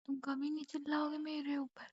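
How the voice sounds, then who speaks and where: A woman's voice: sits high, completely flat delivery, fairly quick, darkish tone, dry recording. A young woman chatting casually and intimately with her partner on a phone call, in a questioning, teasing way. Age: young adult. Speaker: girlfriend. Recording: muffled lo-fi phone call recording.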